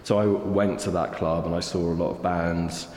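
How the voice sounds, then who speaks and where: A deep-voiced man in his fifties reflects on his life in an unhurried, hesitant way, full of little fillers. His voice rings through a roomy hall with a long tail of reverb, and faint ambience sits behind him. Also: steady tone, darkish tone, slight background noise. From England. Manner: thoughtful, hesitant, many fillers. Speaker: artist guest. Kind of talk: reflective interview conversation.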